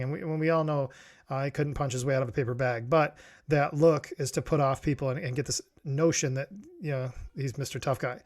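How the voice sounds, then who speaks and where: A middle-aged man from New York giving a reflective solo talk as a podcast host, rambling with many restarts and fillers. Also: on the high side. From New York, USA.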